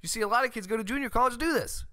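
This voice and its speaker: An American man in his thirties giving loud, emphatic, opinionated sports commentary as a show host, close on a studio mic. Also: extremely high pitch, very fast, dry recording. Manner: loud, emphatic, exasperated ranting. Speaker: host of a college football show. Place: small room at home. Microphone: close studio mic on a boom arm.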